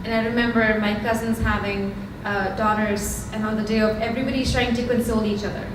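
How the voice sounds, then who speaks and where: A young woman from India tells her own story to an audience in an Indian accent, candid and conversational with plenty of ums. Her voice sits slightly high. It carries from a distance through a very echoey hall with steady background noise. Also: brisk. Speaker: startup founder giving a talk. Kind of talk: storytelling lightning talk. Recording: very echoey hall from far off, noisy.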